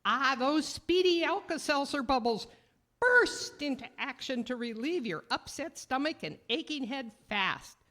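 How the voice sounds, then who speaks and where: A woman playing a perky pitchwoman in a vintage radio advertisement, with singsong sales patter. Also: lively intonation, unhurried, fairly bright tone. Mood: perky, selling.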